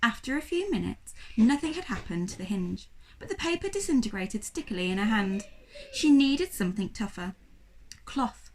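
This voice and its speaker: A woman reading a children's story aloud like a primary school teacher, with expressive, dramatic narration. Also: fairly high pitch, fairly quick, a little hiss or hum.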